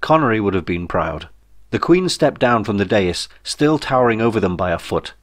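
A man's voice: fairly low pitch, big pitch swings, moderate pace. A man reading a comic novel as scripted audiobook narration, in a wry storytelling manner. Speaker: author reading own novel.

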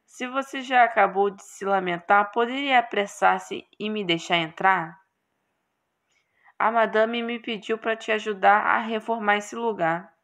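A woman's voice: mid-range voice, a little slow, fairly bright tone. A Brazilian woman voicing a curt, scornful horned male demon in a visual novel game. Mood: haughty. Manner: scornful, impatient.

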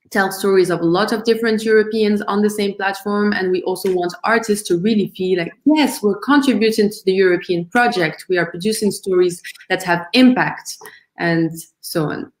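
A woman's voice: mid-range voice, normal speed, darkish tone, roomy sound. A woman founder presenting her project on a video call, earnest and thoughtful, with frequent ums and restarts. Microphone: video call webcam.

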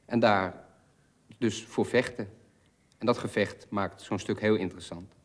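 A middle-aged actor talks in an interview with a fairly low male voice. He searches for his words, repeats phrases and stresses what matters to him. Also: fairly even intonation, measured pace. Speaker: stage actor. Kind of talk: interview talk.

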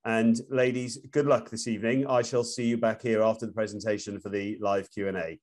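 A man's voice: on the low side, level tone, fairly quick, darkish tone. A man in his forties hosting a live webinar from home over a video call, with plenty of fillers and restarts. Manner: hesitant, many fillers and restarts. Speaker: webinar host. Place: room at home. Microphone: laptop webcam on a video call.

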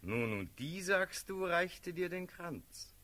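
An actor playing a down-to-earth, teasing officer and confidant in a classical radio play, speaking in a brisk, matter-of-fact way. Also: male voice, high-pitched, very animated, sharp tone, heavily acted. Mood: probing, teasing. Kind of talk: classical German stage verse in a radio play.

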